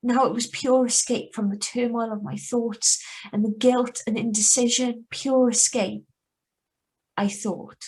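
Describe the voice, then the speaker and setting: A woman as an anguished high-voiced young heroine. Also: fairly even intonation, a little slow, darkish tone, low-quality recording. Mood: wistful. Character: anguished, guilt-ridden young woman hiding a secret. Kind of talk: live radio drama reading with first-person narration.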